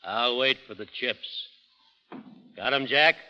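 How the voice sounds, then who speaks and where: An actor playing a sly, taunting Western outlaw gambler with clipped, needling delivery in 1930s radio style. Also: male voice, mid-range voice, slow pace, sharp tone, clear, resonant tone. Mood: smug. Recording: old muffled radio recording.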